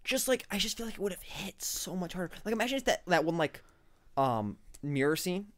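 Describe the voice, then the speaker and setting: A chatty podcast co-host in a relaxed film review conversation, leaning often on filler words. The pitch is in an ordinary middle range. The recording is dry and close, with no echo. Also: brisk. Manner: chatty, leans on "like" and "I'm like". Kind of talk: casual film review chat.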